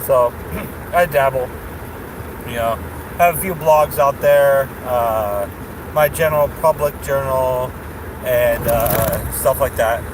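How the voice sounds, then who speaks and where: A man's voice: medium pitch, slow pace. Rambling man vlogging from his car. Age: 30s. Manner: meandering, many fillers and restarts, chuckles often. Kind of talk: rambling casual vlog. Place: parked car at night. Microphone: phone camera on dashboard. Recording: noisy car interior.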